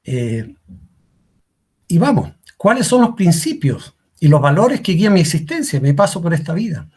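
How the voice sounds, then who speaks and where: A man's voice: on the high side, a little mellow, slightly rough. A middle-aged man giving a career workshop talk as a coach, asking rhetorical questions and repeating key lines for emphasis. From Chile.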